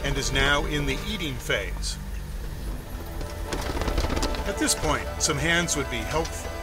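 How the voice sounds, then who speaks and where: Lively male nature documentary narrator. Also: fairly high pitch, normal speed. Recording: narration over loud music. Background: loud music.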